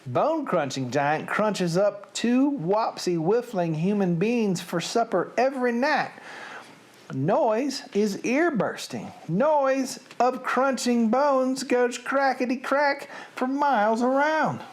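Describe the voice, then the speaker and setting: Man putting on a booming Southern storybook giant. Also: expressive, takes it slowly, heavily acted. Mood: boisterous, boastful. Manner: booming, shouted, odd broken grammar. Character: big friendly giant, male, booming, boisterous and ungrammatical. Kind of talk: storybook character reading.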